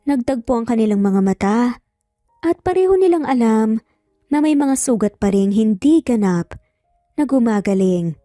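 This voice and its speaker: A woman narrating a scripted dramatic story in a measured, expressive way. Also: fairly high pitch, no echo, clean studio sound, soft background music.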